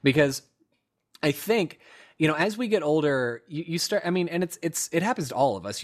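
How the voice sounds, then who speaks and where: A man hosting a podcast in casual chat, musing aloud with fillers and restarts. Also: fairly high pitch. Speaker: podcast host.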